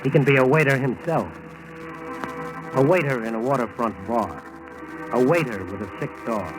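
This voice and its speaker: An American man giving measured, dramatic scripted narration in the style of 1940s radio. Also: medium pitch, over music, low-quality recording. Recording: old 1940s radio broadcast recording.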